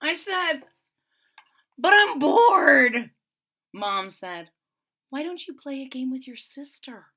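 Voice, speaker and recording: A woman reads a picture book aloud for young listeners, stopping to chat about the pages. Her voice sits a little high and sounds bright and clear. She swings her pitch widely, with plenty of expression. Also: slow, pauses often. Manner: expressive, chatty asides between pages. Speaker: storybook reader.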